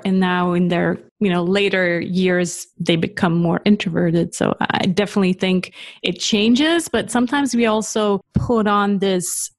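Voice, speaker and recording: Gravelly-voiced woman podcast host. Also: lowish voice, dry recording. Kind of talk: casual interview chat.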